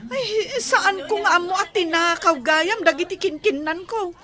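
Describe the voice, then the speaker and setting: A woman's voice: extremely high pitch, normal speed, pushed and bright. A young woman playing a gullible village sweetheart who talks in a bubbly, easily surprised way. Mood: chatty.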